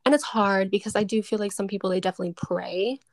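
A woman in a casual chat, quick with affirmations and supportive remarks. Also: medium pitch, no echo, studio quality.

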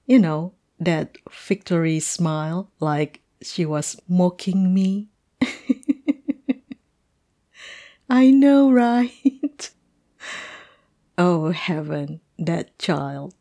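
A woman playing a playful, chatty teacher telling a colleague a story in an animated, amused, conversational audio roleplay. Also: on the low side, exaggerated voice. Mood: gleeful, amused. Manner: animated, amused, punctuated with chuckles.

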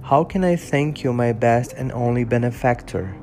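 A man's voice: mid-range voice, moderate pace, darkish tone, soft background music, lightly acted. A man voicing a lonely, shunned male creature who speaks fervently and imploringly in a storybook reading. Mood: grateful.

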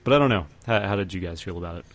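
A man hosting a podcast, casually discussing films and giving his opinions in a measured, hesitant way. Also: sits low, animated. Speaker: podcast host.

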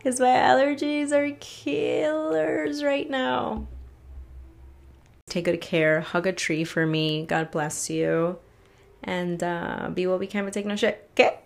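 A woman's voice: medium pitch, slow pace, vocal fry. A woman hosting a casual solo podcast, ranting in a frustrated, rambling way and swearing freely, a little congested from allergies. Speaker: podcast host.

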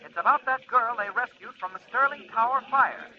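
An actor playing an urgent newspaper reporter dictating a story in 1940s radio style. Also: male voice, very high voice, brisk. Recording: dull, lo-fi old radio recording.

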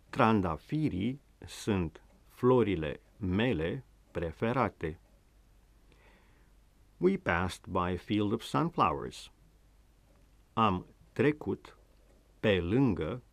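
Slow, lively-voiced Romanian radio host. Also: male voice, fairly low pitch, no room sound. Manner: slow, deliberate word-by-word teaching. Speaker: language show host. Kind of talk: scripted radio lesson.

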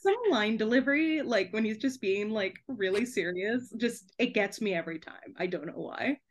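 A young woman speaks as a guest in a relaxed interview. Her voice sits at a middle pitch, and she talks in an animated, chatty way, often dropping in "like" as she thinks aloud. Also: normal speed, slight background noise. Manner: chatty, animated, frequent "like" and laughing asides. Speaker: podcast guest, debut author. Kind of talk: casual chat interview.

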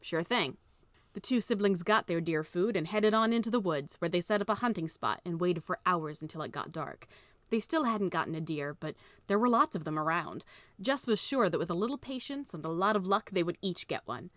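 Casting: female audiobook narrator with a fairly low voice, reading scripted fiction in a dry acoustic. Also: expressive, brisk, fairly bright tone, no room sound.

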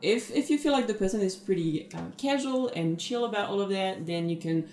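A woman's voice: medium pitch, expressive, brisk, darkish tone, echoey room. A woman co-hosting a podcast, explaining things in an easygoing way with fillers. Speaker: podcast co-host. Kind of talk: casual chat.

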